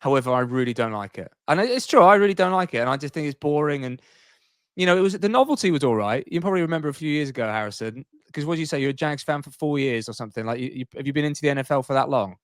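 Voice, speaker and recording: A man's voice: mid-range voice, expressive, racing, dry recording. Man debating sport in a dry, close sound. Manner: repeats himself for emphasis. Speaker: podcast co-host.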